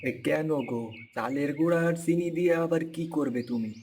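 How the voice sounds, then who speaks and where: A man's voice: fairly high pitch, brisk, darkish tone, a little hiss or hum, natural voice. A man playing a hard-up, grumbling but affectionate village farmer and family man in a cartoon folk tale.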